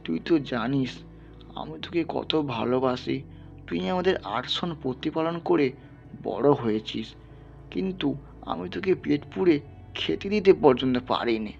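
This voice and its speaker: A man acting a sorrowful, gravelly peasant father. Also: a little high, lively intonation, darkish tone, muffled recording. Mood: tender grief. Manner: halting, murmured, pleading. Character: poor, sorrowful peasant father, tender toward his bull. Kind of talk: dramatized literary audio story. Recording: band-limited, music underneath.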